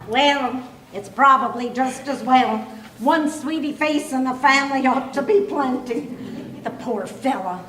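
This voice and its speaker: A woman with an American accent playing a patient, inquisitive, gently teasing middle-aged wife in an old-time radio comedy. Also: sits high, measured pace. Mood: politely curious. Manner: polite, sweetly firm, wry. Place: clubhouse hall, live performance. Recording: echoey clubhouse, faint noise.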